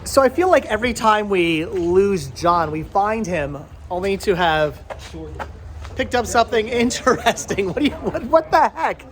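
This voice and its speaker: High-voiced, chatty man vlogging. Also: very animated, normal speed, background noise. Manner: chatty, rambling, repeats words for emphasis. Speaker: vlogger host. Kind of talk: casual vlog chat to camera and friends.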